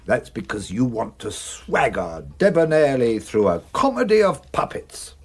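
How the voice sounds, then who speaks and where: An actor playing an earnest, blunt English police detective in a radio drama, plain-spoken, dutiful and morally firm. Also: male voice, lowish voice, husky, exaggerated voice.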